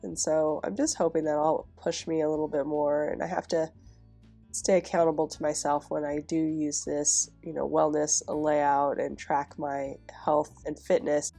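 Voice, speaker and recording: Stuffy nasal woman, low and a bit gravelly, chill chatty planner voice-over. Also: normal speed, faint music behind. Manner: chatty, relaxed, with soft laughs. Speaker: planner vlogger. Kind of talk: casual voice-over while decorating a planner.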